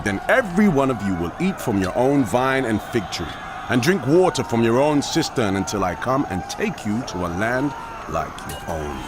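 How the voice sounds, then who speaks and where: A man playing an arrogant, taunting Assyrian military commander in a dramatized audio scripture, declaiming with mocking force. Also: on the high side. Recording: noisy outdoor ambience behind.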